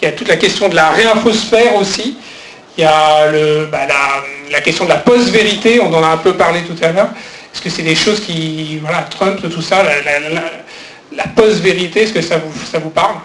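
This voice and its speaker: A high-voiced man moderates a conference panel and asks his questions haltingly, with plenty of fillers. The hall around him is echoey. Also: fairly bright tone, slight background noise. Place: conference hall at a trade fair.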